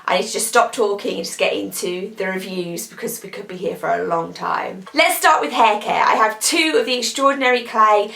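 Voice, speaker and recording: Chatty British woman with a croaky voice. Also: medium pitch, a little fast, hardly any pauses, bright, forceful tone, roomy sound. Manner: chatty, rambling, upbeat. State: croaky voice from a cold. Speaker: beauty vlogger. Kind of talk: casual product review chat.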